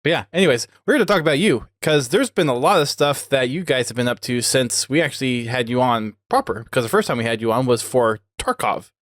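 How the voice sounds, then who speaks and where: A man's voice: mid-range voice, expressive, a little fast, hardly any pauses, a bit bright, dry recording, studio quality. A man hosting a podcast, chatting casually as he interviews guests. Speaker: podcast host.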